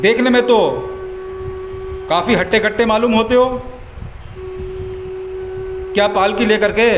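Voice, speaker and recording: A man's voice: sits high, a little slow, background noise. A man voicing a king in a devotional story, with formal, declamatory phrasing. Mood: stern, commanding. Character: commanding male king, stern, later humbly reverent. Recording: echoey voice over music.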